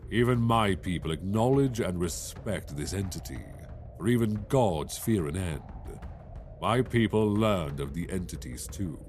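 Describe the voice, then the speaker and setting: Actor as solemn male elder, fantasy drama: low register, stately delivery, underscored by music. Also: animated, a little slow, darkish tone. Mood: grave. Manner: grave, stately. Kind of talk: fantasy audio drama narration. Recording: music behind the voice.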